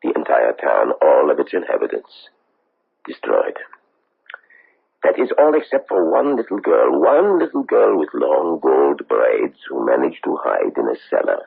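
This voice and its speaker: An actor playing a solemn, insistent government investigator from abroad in a 1970s radio drama, with formal, deliberate, pleading phrasing. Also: male voice, very deep voice, huge pitch swings, vocal fry, slightly rough, heavily acted. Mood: grave, urgent. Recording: muffled tinny old radio broadcast.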